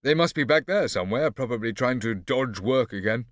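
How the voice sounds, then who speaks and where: A man's voice: medium pitch, expressive, brisk, dry recording, clean studio sound, pitched above the performer's own voice, close to an everyday voice. Man doing a cocky boy aping a haughty doctor. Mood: condescending. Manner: condescending, smooth. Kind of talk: audiobook character voice.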